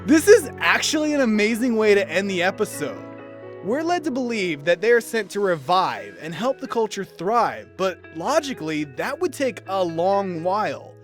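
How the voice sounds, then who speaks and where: A man giving an enthusiastic, animated scripted review as a cartoon episode commentator, with instrumental music behind him. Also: sits high, moderate pace. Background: instrumental background music.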